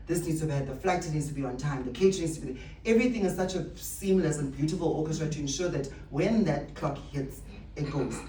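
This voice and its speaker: Motivational speaker, mid pitch, roomy echo, mic a bit far off, repeats points for emphasis. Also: darkish tone. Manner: emphatic, rhetorical questions and repetition. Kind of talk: motivational speech to staff. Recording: echoey room, distant mic, faint noise.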